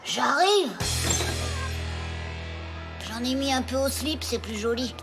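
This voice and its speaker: Casting: schoolboy sidekick in a cartoon, childish, questioning, very high-pitched, unsure of himself. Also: female voice, animated, slow, music in the background, exaggerated voice. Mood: pleased. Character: naive, doubtful young schoolboy sidekick. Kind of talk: French cartoon voice acting.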